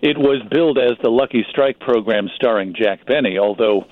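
A man giving informative, measured answers as a guest in a radio interview. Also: mid-range voice, narrow, tinny audio. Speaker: magazine publisher, radio history guest.

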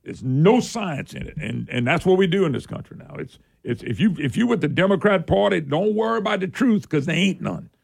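An older man hosts a talk radio show, his voice gravelly and husky, still hoarse from a throat bug. He rambles in a folksy, animated way, his pitch swinging up and down. A guitar plays faintly behind him. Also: fairly quick, few pauses. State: hoarse, recovering from a sore throat. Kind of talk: casual opinionated talk radio.

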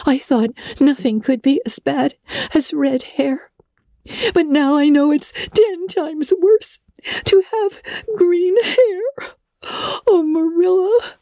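A woman voicing a dramatic, imaginative orphan girl who speaks high, breathless and tearful, often dropping to a whisper. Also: fairly even intonation, a little slow, dark tone, dry recording. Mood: crying. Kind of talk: audiobook character reading.

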